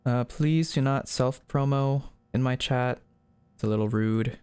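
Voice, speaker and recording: A man's voice: mid-range voice, measured pace, warm tone, smooth. A man live streaming in a casual, conversational way, reading viewers' messages aloud and replying to them. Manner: reads chat messages aloud and replies.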